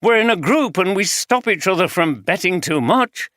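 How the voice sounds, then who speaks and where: A reader voicing Foster, a nervous middle-aged husband caught gambling, in an audiobook. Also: male voice, sits high, lively intonation, normal speed, fairly bright tone, dry recording, studio quality, pitched above the performer's own voice. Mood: pleading. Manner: pleading, evasive, nervous. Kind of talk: audiobook character reading.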